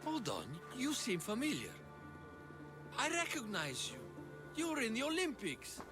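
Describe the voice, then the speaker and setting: A man voicing a starstruck, eager young athlete in a video game, excited and admiring. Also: very high voice, a little slow, music in the background, full character voice. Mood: awed.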